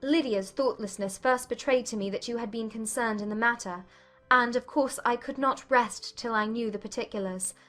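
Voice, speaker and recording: A woman playing a frank young gentlewoman in love, with polite formal phrasing, in a dramatized classic romance audiobook. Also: on the high side, normal speed, soft background music, close to an everyday voice. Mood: grateful, sincere.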